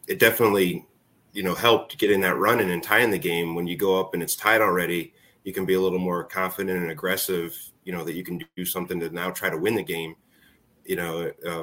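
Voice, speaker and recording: A man's voice: low-pitched, fairly bright tone. A man in his forties, a former ballplayer, speaking casually as an interview guest and telling stories. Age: 40s. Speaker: former college baseball player, interview guest.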